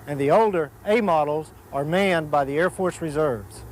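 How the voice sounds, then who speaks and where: High-voiced American man presenting military instruction. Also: moderate pace, slight background noise, poor audio. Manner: formal, enunciating lists and designations. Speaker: military air force presenter.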